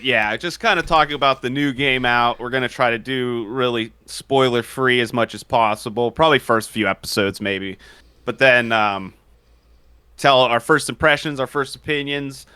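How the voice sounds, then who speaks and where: A man co-hosting a casual gaming podcast, excitable and animated and quick to laugh, speaking into a studio mic. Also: mid-range voice, clear, resonant tone, no echo. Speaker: podcast co-host.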